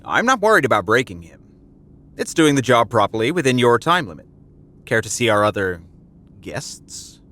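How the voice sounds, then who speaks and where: An actor playing a cold villainous doctor in an audio drama, detached and matter-of-fact. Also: male voice, mid-range voice, sing-song, normal speed, sharp tone. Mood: clinical, detached. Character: cold clinical male doctor, calm torturer.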